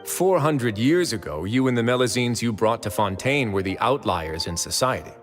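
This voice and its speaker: A man's voice: medium pitch, animated, soft background music, close to an everyday voice. Assured authority figure played by a man, echoing hall. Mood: reassuring, persuasive. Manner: measured, deliberate, persuasive. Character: calm, assured, authoritative male prison overseer, shrewd and reasonable. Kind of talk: scripted video game voice acting.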